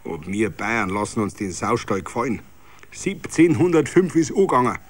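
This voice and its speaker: A bitter Bavarian tradesman, acted out by a male reader, grumbles indignantly in thick dialect. His pitch jumps about freely as he hammers each point home in a tavern scene from a radio play. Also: big pitch swings, normal speed. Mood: grumbling.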